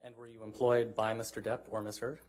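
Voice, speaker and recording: Male attorney for courtroom questioning: brisk, methodical delivery, lowish pitch. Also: level tone, darkish tone. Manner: methodical, probing. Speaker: lawyer questioning a witness.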